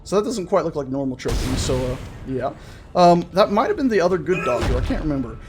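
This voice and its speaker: A man giving casual, chatty let's-play commentary on a horror game, full of jokey asides and an excitable, jumpy manner. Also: fairly high pitch, fast, noisy background. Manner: jokey, chatty asides to himself and the game's characters; jumpy, excitable, prone to swearing shouts. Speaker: gaming video host.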